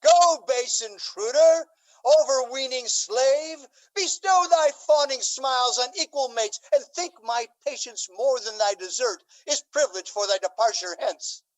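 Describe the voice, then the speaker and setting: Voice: unhurried, low-quality recording. An actor playing a wrathful, imperious duke and outraged father in a Shakespearean radio drama, declamatory and scornful. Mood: furious. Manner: declamatory, scornful, booming when angered.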